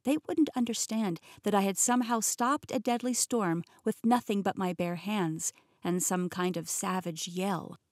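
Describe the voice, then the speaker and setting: Brief: clear female audiobook reader, lightly wry, close studio mic, mid-range voice. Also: mid-range voice, measured pace, darkish tone, no room sound. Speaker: audiobook narrator. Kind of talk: first-person audiobook narration.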